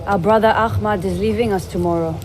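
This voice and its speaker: A woman's voice: mid-range voice, fairly quick, slight background noise, natural voice. An actress playing a friendly assassin blacksmith who chats about her home village.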